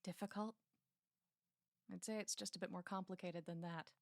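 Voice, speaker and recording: A woman's voice: lowish voice, fairly even intonation, moderate pace, vocal fry, no echo, clean studio sound. An actress playing a guarded young woman patient in an audio drama, sardonic and hesitant. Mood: guarded, defiant.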